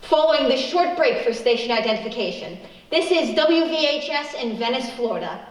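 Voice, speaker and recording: A woman's voice: sits high, lively intonation, brisk. An actress playing a genteel, formal female announcer on an old-time radio playhouse. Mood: formal, bright. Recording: echoey room, music bed, distant mic.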